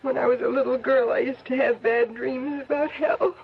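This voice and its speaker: A woman's voice: sits high, fairly even intonation, normal speed, gravelly, slightly rough, heavily acted. An actress playing an exhausted, frightened woman who is breaking down, in a plaintive, melodramatic old-time radio style. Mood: despairing, tearful. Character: exhausted, terrified woman trapped in a nightmare, breaking down. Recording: noisy, muffled old radio recording.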